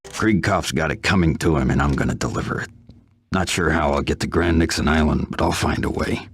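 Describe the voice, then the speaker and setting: An actor playing a grim, laconic vigilante antihero in a hard-boiled video game, terse and deadpan. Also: male voice, extremely low pitch, brisk.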